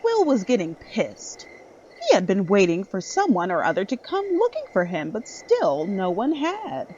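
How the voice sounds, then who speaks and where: A woman's voice: medium pitch, huge pitch swings, moderate pace, darkish tone, dull audio, a little hiss or hum. A woman reading scripted narration for a dramatic horror story.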